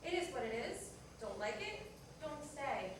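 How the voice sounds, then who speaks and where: A bitter, jilted diner manager, played by a woman in a stage play, speaks in a high voice with curt sarcasm and accusation. She is heard from far off in a very echoey room. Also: very animated, unhurried, background noise. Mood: brusque, defensive. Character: bitter jilted woman coffee-shop manager in 1976 New York. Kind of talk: staged reader's theater dialogue. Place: indoor performance room, distant microphone.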